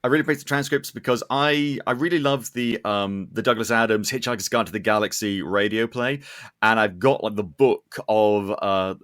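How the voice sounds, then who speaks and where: A man's voice: fairly low pitch, normal speed, a bit bright, no room sound. Enthusiastic man hosting, dry close sound. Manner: enthusiastic, sweary, emphatic. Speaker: podcast host. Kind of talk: casual chatty interview.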